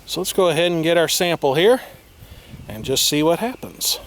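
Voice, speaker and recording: A man's voice: on the high side, very animated, normal speed, faint music behind. A man hosting a casual vlog with wordy, playfully formal, tongue-in-cheek phrasing and mock seriousness. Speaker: video host. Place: outdoors on a windy day, by a vehicle. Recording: clear noise, windy outdoor recording.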